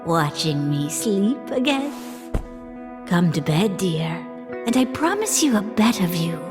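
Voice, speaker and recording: Lady doing a gentle worried wife in a fantasy drama, unhurried, music behind her. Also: mid-range voice, very animated. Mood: tender, concerned. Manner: gentle, measured, intimate. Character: tender, concerned wife of a god butcher. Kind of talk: scripted fantasy audio drama.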